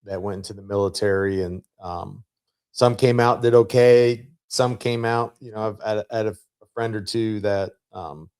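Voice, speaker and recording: A man with a fairly low voice hosts a relaxed interview. He phrases his questions conversationally and often chimes in to support what is said. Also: normal speed, smooth. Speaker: podcast host. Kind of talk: casual interview.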